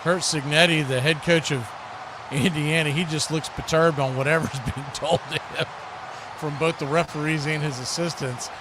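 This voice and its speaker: A man's voice: fairly high pitch, steady tone, normal speed, noisy background. An American man working as a sports host and play-by-play commentator on a live football watch party.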